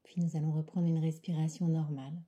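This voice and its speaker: A woman guides a relaxation session in a very dark, mellow voice. It is extremely breathy and often slips toward a whisper. Her phrasing is calm, soothing and unhurried, pitched on the low side. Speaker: yoga nidra guide.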